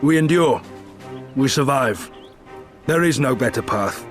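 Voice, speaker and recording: Game role: weary, solemn male confederacy leader actor, very slow deliberate delivery, music behind. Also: medium pitch, expressive, lightly acted. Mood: resolute, solemn. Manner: solemn, deliberate, weighty pauses. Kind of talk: video game voice acting. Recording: background music.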